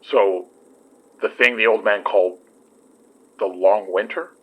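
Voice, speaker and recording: Actor doing polite uneasy guy, low raspy voice, natural audio drama, very muffled. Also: steady tone, a little slow. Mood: concerned. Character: polite, uneasy male companion, practical and concerned. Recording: muffled, band-limited audio.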